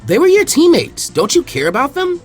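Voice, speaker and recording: A man doing a high, snappy teen girl ninja. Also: wildly swooping pitch, a little fast, faint music behind, exaggerated voice. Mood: annoyed. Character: impatient teenage girl ninja.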